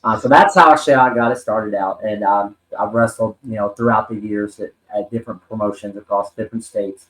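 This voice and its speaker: A man's voice: fairly low pitch, fairly even intonation, fairly quick, few pauses, a bit bright. Earnest rambling man in an echoey room. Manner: earnest, rambling storyteller with many ums and restarts. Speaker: podcast guest, wrestler and talent manager. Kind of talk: personal story in a podcast interview.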